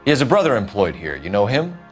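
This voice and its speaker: A methodical, stern detective from the 1940s pressing a suspect in clipped lines. His pitch moves a lot as he pushes. A faint music score sits behind him. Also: wildly swooping pitch, fast. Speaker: police detective.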